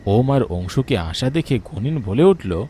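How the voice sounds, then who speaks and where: A man's voice: medium pitch, big pitch swings, unhurried, dark tone, slight background noise. A man narrating a scripted, dramatic spooky story, with music underneath.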